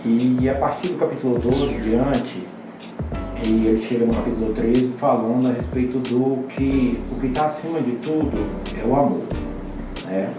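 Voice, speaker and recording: A Brazilian man, a pastor guest on a podcast, explaining scripture in a halting, explanatory way with many restarts. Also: medium pitch, fairly even intonation. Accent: Brazilian Portuguese. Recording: roomy, distant, dull podcast audio. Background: music underneath.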